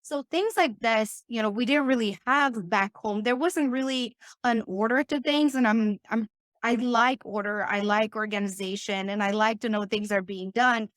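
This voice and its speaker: A bubbly woman from Romania, chatting as a podcast guest and getting hyped up easily. Her voice sits a little high. The sound is dry and close to the mic. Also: moderate pace, dry recording. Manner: excitable, bubbly, laughs often. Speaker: podcast guest, business owner. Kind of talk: casual interview talk.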